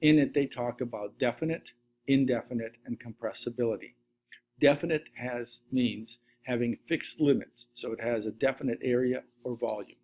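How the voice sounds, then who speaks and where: Middle-aged male science teacher, steady methodical lecture read into a desk webcam. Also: medium pitch, moderate pace. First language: Latvian. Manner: steady list-reading delivery. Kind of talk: recorded slide lesson.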